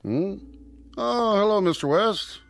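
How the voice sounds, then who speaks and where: An actor playing a polite, shrewd and businesslike pawnbroker in a radio drama. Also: male voice, high-pitched, lively intonation, takes it slowly, vocal fry, a little hiss or hum, heavily acted. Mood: polite, wary.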